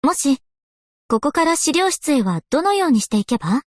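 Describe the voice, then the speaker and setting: A woman's voice: sits high, sing-song, moderate pace, a little mellow, dry recording, clean studio sound. A woman voicing a polite, scholarly young historian in a game, speaking with formal, refined courtesy. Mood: courteous, gentle. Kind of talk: scripted game character voice lines.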